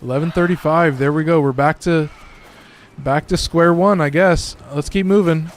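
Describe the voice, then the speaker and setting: A man with a slightly hoarse, raspy voice, giving loose and profane casual commentary while streaming a game. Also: a little high, fairly even intonation, moderate pace, a little hiss or hum. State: a little hoarse. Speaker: gaming streamer.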